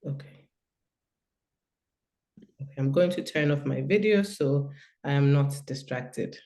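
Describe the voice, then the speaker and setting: A woman's voice: a bass voice, unhurried, mellow. A woman with a cold and a nasal voice, giving a hesitant online presentation over a video call. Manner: hesitant, many fillers. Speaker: guest speaker.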